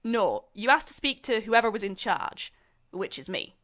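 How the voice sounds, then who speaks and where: A woman's voice: mid-range voice, sing-song, normal speed, fairly bright tone. A young woman acting as a university student in a comedic audio drama. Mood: polite, businesslike. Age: young adult. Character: young female student journalists and theatre director sparring.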